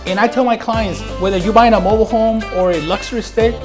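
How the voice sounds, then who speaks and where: A man's voice: high voice, fairly even intonation, fast, over music. Earnest Mexican-born man telling his story. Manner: earnest, repeats phrases for emphasis. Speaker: real estate agent, guest. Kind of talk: casual interview storytelling.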